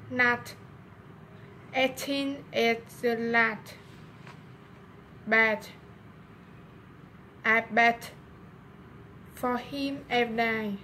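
Slow young Vietnamese woman practicing English. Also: fairly high pitch, sharp tone, a little hiss or hum, rough recording. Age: young adult. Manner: slow, word-by-word practice with stumbles. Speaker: language student. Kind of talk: reading pronunciation homework aloud. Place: small bathroom, indoors. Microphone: webcam or phone at arm's length.

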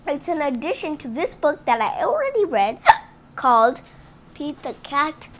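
Female read-aloud host, high and bouncy pitch, unhurried, halting reading with chatty asides; phone mic over a tabletop at home. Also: a bit bright, slight background noise. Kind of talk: reading a picture book aloud to children. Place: indoors at home, book on a table.

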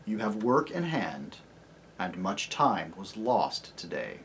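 A reader voicing a great, wise, gentle male lion who speaks slowly and gravely in a lowered, quiet voice. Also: big pitch swings, slight background noise, full character voice, poor audio. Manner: slow, grave and soft-spoken.

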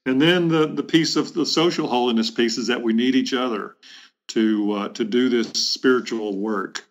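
A middle-aged man, a bishop answering interview questions in a reflective, halting way with measured phrasing. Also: mid-range voice, lo-fi sound. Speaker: bishop, podcast guest. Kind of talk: thoughtful interview conversation.

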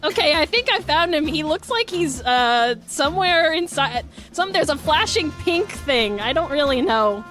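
A woman's voice: high-pitched, animated, normal speed, hardly any pauses, fairly bright tone, faint music behind. A woman voicing an earnest, chatty little boy fox, hesitant and matter-of-fact, in an improvised comic game fan dub. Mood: eager, matter-of-fact.

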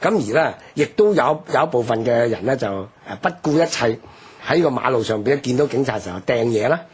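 An older man with a Hong Kong accent and a very husky voice. He recounts history for a radio talk, explaining things emphatically. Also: mid-range voice, lively intonation, a little fast.

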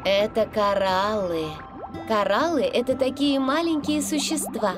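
A woman's voice: fairly high pitch, a little slow, natural voice. A woman voicing a friendly mother kangaroo marine biologist in a children's cartoon, patiently explaining things. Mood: gently instructive. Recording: music behind the voice.

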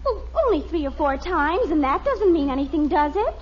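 A woman's voice: sits high, expressive, brisk. An actress playing a coy, petulant teenage girlfriend with an American accent in an old radio sitcom. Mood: defensive. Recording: muffled, noisy old radio recording.

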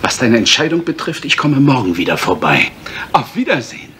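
An actor playing a stiff, disapproving financial adviser in a German radio mystery, dry and formal. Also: male voice, medium pitch, very animated, fairly quick, bright, forceful tone. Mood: curt. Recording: faint noise, retro radio play.

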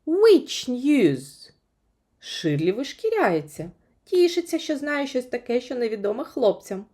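A woman reading a children's story as an expressive audiobook narrator. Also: a little high, normal speed.

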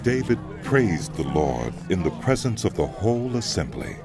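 Deep-voiced, expressive male scripture narrator. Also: moderate pace. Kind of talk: dramatized scripture audiobook narration. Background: music.

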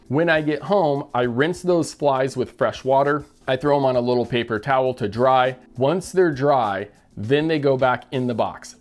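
An enthusiastic middle-aged man talks straight to camera, upbeat and plain-spoken, in a voice of ordinary middle pitch. He is recorded close on a lapel mic in a small indoor studio. Faint music runs quietly beneath him. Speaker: fly shop owner and host. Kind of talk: casual shop update vlog.

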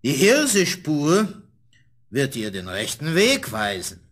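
Woodcutter father for fairy-tale audio drama: earnest, practical, forceful tone, highish pitch, emphatic delivery. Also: huge pitch swings, slow pace, full character voice. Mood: planning. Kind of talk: German fairy-tale radio play acting.

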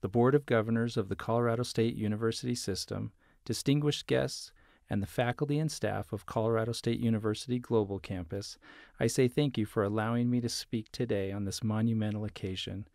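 A man's voice: on the low side, brisk, vocal fry, a little mellow, no room sound, clean studio sound. A man formally reading a prepared commencement speech aloud. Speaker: staff member reading a student's speech.

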